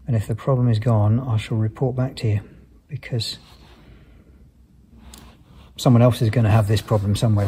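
A man's voice: lowish voice, gravelly, warm tone. A man giving thoughtful, unscripted technical commentary as he works through a repair step by step, recorded on a hand-held device with some noise. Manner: thoughtful, explaining step by step while working. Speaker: aircraft maintenance engineer presenting a repair video.

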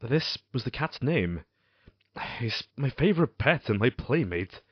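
An actor playing a tormented condemned man confessing in a Gothic audio drama, with theatrical, deliberate, formal delivery. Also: mid-range voice, big pitch swings, slightly rough. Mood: fond, wistful. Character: tormented condemned man confessing his crimes, intense and melancholy. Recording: dull, roomy amateur recording.

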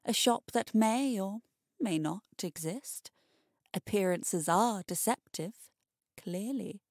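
A woman narrating a scripted short story in a deliberate, measured manner. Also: mid-range voice, darkish tone, no echo, studio quality.

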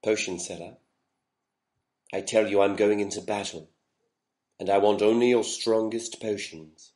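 A man's voice: fairly low pitch, fairly even intonation, measured pace, a little mellow, natural voice. A man voicing a desperate, insistent knight in a comic cartoon sketch, pleading and indignant.

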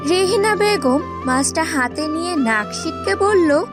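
A woman storyteller narrates an animated fairy tale. Her voice is high, with lively, swooping intonation and expressive scripted delivery. Music plays clearly behind her. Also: unhurried.

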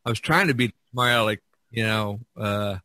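A man with a bright, forward voice and a dry, close sound. He hosts in a casual, jokey style, rambling from one thought to the next. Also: lowish voice, moderate pace, dry recording. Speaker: podcast host. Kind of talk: casual, chatty discussion. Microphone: online video call.

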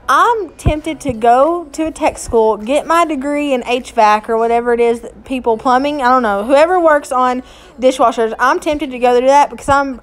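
A woman's voice: sits high, fairly bright tone. A woman in her thirties with a Southern US accent, chatting in a self-mocking way in a home vlog voice-over. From Smoky Mountains area, USA. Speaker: vlogger. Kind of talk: casual voice-over chat.

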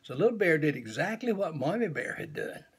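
A man in his seventies reading a children's picture book aloud expressively in a small room at home. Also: a little high. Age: 70s. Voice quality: gravelly, husky. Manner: slow, deliberate, animated.

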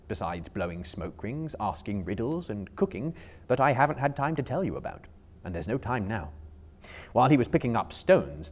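Theatrical male storyteller with lively intonation. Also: on the low side. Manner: theatrical, emphatic, carefully phrased. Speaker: storyteller performing a dramatic reading. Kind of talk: dramatic storybook narration. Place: indoor bookstore room lined with shelves.

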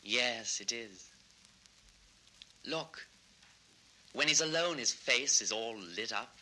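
A man's voice: medium pitch, lively intonation, takes it slowly, pushed and bright, exaggerated voice, rough recording. A man playing an ardent young composer and admiring disciple in a vintage children's record drama, earnest and enthusiastic. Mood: reverent. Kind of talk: 1960s children's record radio-play acting.

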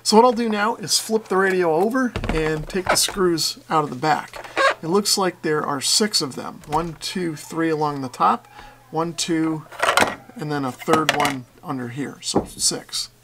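A man's voice: on the high side, a little slow, very noisy. An American man in his forties giving a plain-spoken, methodical repair tutorial as a channel host in a small workshop. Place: small indoor workshop with concrete walls.

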